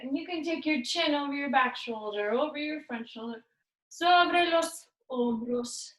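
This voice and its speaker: A woman's voice: sits high, a little slow, a bit bright. A woman fitness instructor giving upbeat, playful workout cues, slipping in Spanish words. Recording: echoey room, voice far from mic.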